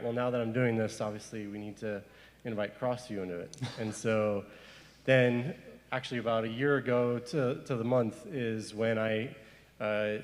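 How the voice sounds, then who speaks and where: Panelist, man from Zimbabwe, earnest and full of restarts, hall reverb behind him. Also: mid-range voice. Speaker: panelist, missionary worker. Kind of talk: panel discussion. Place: church hall. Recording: echoey hall.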